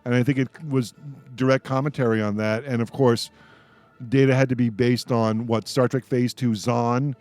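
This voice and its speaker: A man's voice: medium pitch, little pitch movement, darkish tone, no echo. A man with a mid-range voice chats in a casual group discussion. He speaks informally, circling back and restarting as he goes. Faint music sits behind the talk. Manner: halting, repeats and restarts mid-thought. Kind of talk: casual roundtable fan discussion.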